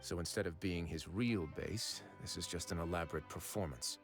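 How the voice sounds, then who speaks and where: An actor playing a composed male fortress warden in a video game, speaking in a calm, measured, authoritative way. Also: sits low, close to an everyday voice. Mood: deliberate. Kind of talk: game cutscene voice acting. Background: faint game music.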